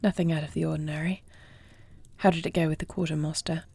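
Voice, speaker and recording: Woman doing a headstrong royal dragon-shifter lady, kinda low w fry in it, very proper wording, audio roleplay, super dry close sound. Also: little pitch movement, quick pace, dark tone, no echo, heavily acted. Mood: warm, attentive. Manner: formal, regal phrasing. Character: dragon-shifter princess, newly wed, dutiful, proud, headstrong warrior. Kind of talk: scripted audio roleplay acting, one side of a dialogue.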